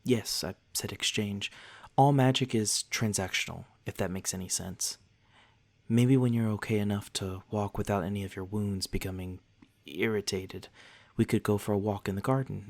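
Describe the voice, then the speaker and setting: A courteous vampire lord acted by a man speaks softly and fairly low, straight to the listener, as in an ASMR roleplay. His wording is genteel and a little old-fashioned. He comes across as gentle, attentive and protective, reassuring in everything he says. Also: gravelly. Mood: calm, reassuring.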